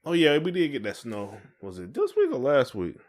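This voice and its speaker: A man's voice: mid-range voice, huge pitch swings, brisk. A man with a Southern US accent co-hosting a podcast, chatting casually and colloquially with playful teasing. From United States. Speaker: podcast co-host. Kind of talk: casual couple's podcast chat.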